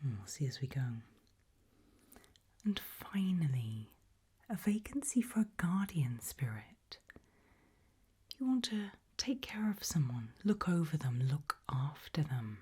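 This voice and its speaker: British lady whispering asmr roleplay, super breathy, low and really slow, lots of pauses. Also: very animated, warm tone. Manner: slow, deliberate, with long pauses. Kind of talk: ASMR roleplay, soft questions to the listener.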